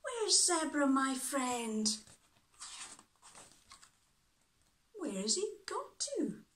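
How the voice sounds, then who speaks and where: A woman's voice: fairly high pitch, big pitch swings, takes it slowly, slight background noise. A woman reading a picture book as a bossy, exasperated little girl, firm and emphatic in her scolding. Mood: searching. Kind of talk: picture-book read-aloud.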